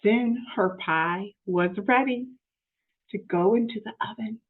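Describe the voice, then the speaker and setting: A woman reading a storybook aloud to young children in an expressive, teacherly way, with explanatory asides and questions to the listeners. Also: medium pitch, takes it slowly, breathy edge, phone-quality sound.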